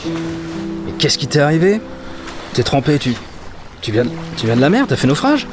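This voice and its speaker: An actor playing a tired, anxious dockworker in a fantasy audio drama, with a hesitant, stammering way of talking. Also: male voice, on the high side, big pitch swings, moderate pace, music in the background. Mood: alarmed, concerned. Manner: hesitant, stammering, muttering to himself. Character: weary, anxious male dockworker in a fantasy port.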